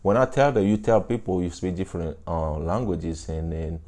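A man's voice: sits low, fairly even intonation, moderate pace, mellow. A man in his thirties from Africa, whose first languages are a local African language and French, giving earnest, encouraging advice to camera in a vlog, often saying "you know", recorded indoors on a lapel mic. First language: a local African language, then French. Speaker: vlogger. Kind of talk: casual talk to camera. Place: small indoor room, seated on a couch.

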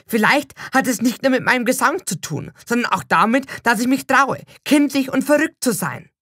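A woman's voice: fairly high pitch, fairly bright tone, breathy edge, no room sound, studio quality. A woman reading a scripted first-person narration as a storyteller.

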